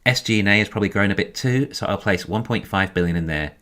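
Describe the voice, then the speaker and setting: A man giving a scripted financial commentary in a measured, explanatory way. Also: lowish voice, pushed and bright. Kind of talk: scripted narration, financial analysis.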